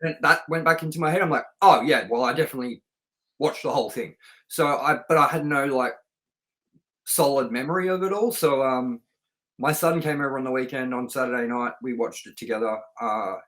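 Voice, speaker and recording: A middle-aged man with a medium-pitched voice talks as the host of a film review show. He is chatty and informal, wandering through his thoughts and anecdotes without a script. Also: a little fast, sharp tone. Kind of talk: casual unscripted review chat.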